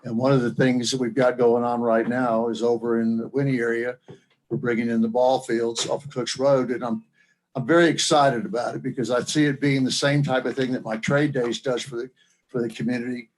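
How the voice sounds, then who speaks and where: A man's voice: medium pitch, level tone, a little fast, low-quality recording. A man giving a plain-spoken public comment at a local government meeting, earnest and folksy in manner. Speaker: member of the public seeking support at a county meeting. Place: county meeting room.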